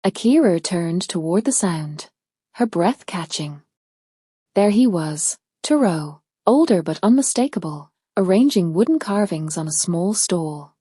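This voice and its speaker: A woman's voice: on the low side, expressive, measured pace, mellow, breathy edge, dry recording, clean studio sound. A woman narrating a scripted storybook tale as a storyteller.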